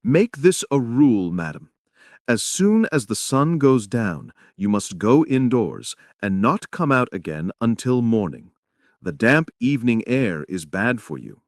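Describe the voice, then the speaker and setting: A man's voice: mid-range voice, very animated, unhurried, no room sound, studio quality. A man playing a stern, earnest doctor, grave and admonishing, in a dramatic reading of a stage play. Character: stern, earnest, scolding male doctor Lvov.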